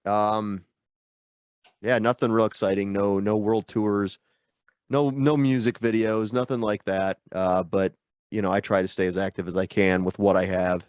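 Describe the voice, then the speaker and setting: A man with a fairly low voice talks loosely over a video call, his nose stuffed up from a sinus infection. He rambles and pokes fun at himself, with plenty of fillers. The sound is dry and close. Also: level tone, normal speed, darkish tone, clean tone, dry recording. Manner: rambling, self-deprecating, lots of fillers. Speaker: guest musician. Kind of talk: casual interview chat.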